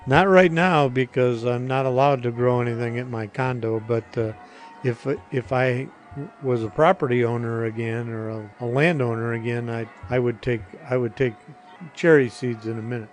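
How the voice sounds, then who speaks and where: Older male podcast guest, medium pitch, halting conversational answers, light music underneath. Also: fairly even intonation. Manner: hesitant, halting. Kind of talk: casual interview answers.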